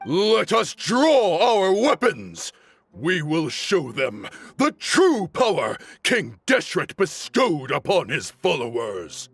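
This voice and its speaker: An actor playing a proud, desperate desert warrior leader in a video game, speaking in a grandiose, declamatory, zealous way. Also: male voice, fairly high pitch, wildly swooping pitch, slow pace, fairly bright tone, slightly rough, dry recording, faint music behind, full character voice. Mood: rousing, defiant. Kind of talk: dramatic video game voice acting.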